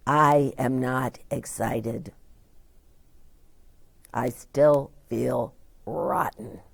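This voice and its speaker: A woman's voice: extremely low pitch, steady tone, very slow, creaky, slightly rough, dry recording, pitched below the performer's own voice, heavily acted. Storybook child, played by a woman: disappointed, sulky, emphatic grumbling, dragging pace. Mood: sulky, glum. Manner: glum, emphatic complaining. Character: sulky, disappointed child stuck with a minor role.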